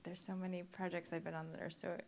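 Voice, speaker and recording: A woman's voice: on the low side, little pitch movement, quick pace, gravelly, a little hiss or hum. A woman, a documentary cinematographer on a panel, answering in a rambling, unsure way with many "I don't know"s. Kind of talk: panel discussion.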